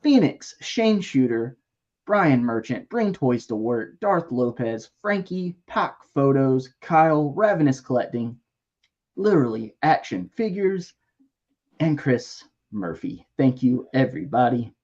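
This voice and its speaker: Husky-voiced man hosting a toy livestream. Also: medium pitch, measured pace, dull audio. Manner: lively, jokey shout-outs to members. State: losing his voice. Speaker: livestream host. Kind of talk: casual livestream chat.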